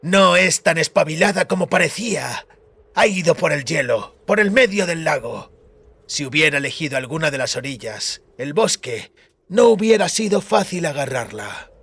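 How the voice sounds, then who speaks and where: A man's voice: fairly high pitch, expressive, moderate pace, creaky, breathy edge, dry recording, full character voice. A man voicing a furious, impatient villain who barks brusque orders in a fantasy audiobook. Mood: furious triumph. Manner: shouting, brusque.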